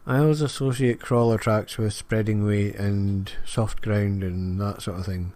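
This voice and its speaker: A man's voice: lowish voice, fairly even intonation, unhurried, dark tone. A man giving rambling, self-deprecating commentary over a farming game, full of fillers. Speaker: gaming video creator. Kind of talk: casual gameplay commentary.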